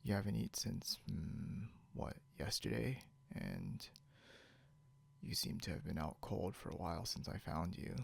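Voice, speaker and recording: A low, heavily fry-laden male voice moves slowly, drifting between murmur and whisper over a noticeable hum. It is a possessive creature keeping a human captive, played by a man for ASMR roleplay, condescending and teasing yet grudgingly caring. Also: sing-song, full character voice. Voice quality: gravelly, often breaking into whisper. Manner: halting, muttering, condescending. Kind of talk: ASMR roleplay, intimate second-person acting. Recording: noticeable background hiss or hum.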